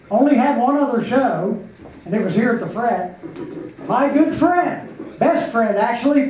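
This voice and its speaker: An upbeat man emceeing a comedy night, his high voice building toward the introduction. He is echoey and a little distant, with a faint room hum behind him. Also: darkish tone. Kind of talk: stage introduction. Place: comedy club.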